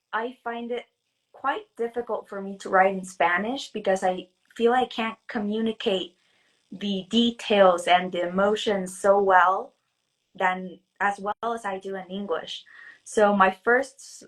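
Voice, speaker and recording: Us-born woman author, slow and halting, keeps restarting, casual interview. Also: mid-range voice, fairly even intonation, a bit bright, poor audio. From United States. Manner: hesitant, halting, restarts often.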